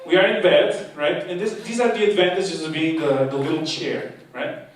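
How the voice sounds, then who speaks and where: A man's voice: a little high, expressive, normal speed. Young man doing stand-up in an echoey hall. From half Portuguese, half Argentinian. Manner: chatty, playful, self-mocking, talks to the audience. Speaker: stand-up comedian and course leader. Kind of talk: stand-up comedy set. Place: live comedy show in a hall. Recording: echoey hall from far off, noisy. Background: audience laughter.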